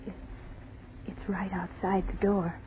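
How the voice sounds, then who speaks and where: An actress playing a terrified young woman in 1940s radio horror style, halting and pleading as she repeats herself in desperation. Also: medium pitch, little pitch movement, lightly acted. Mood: hushed, afraid. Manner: halting, repetitive, pleading speech. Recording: scratchy, tinny 1940s radio broadcast.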